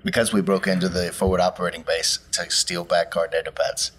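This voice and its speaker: A man's voice: low-pitched, flat delivery, fairly quick, a bit bright. A man casually describing his character's actions as a tabletop game player.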